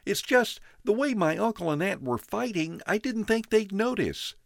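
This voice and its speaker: A man's voice: high-pitched, lively intonation, normal speed, vocal fry, no room sound, studio quality, pitched above the performer's own voice. A man voicing a timid young boy whose voice shakes as he pleads. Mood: anxious. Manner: shaky, pleading.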